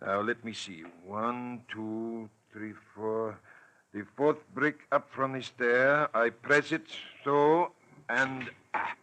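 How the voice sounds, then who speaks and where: An actor playing a courteous, nervous older hotel proprietor in 1940s radio style, halting and deferential. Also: male voice, medium pitch, fairly even intonation, bright, forceful tone. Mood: hesitant, polite. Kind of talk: 1940s radio drama acting. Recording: noisy vintage radio recording with clicks.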